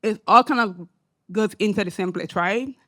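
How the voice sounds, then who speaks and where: African-accented woman on a panel, lively, keeps saying right?, mid voice. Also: medium pitch, normal speed. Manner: animated, emphatic, asks rhetorical "right?". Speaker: panelist, academic and social entrepreneur. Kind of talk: panel discussion remarks. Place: symposium panel room.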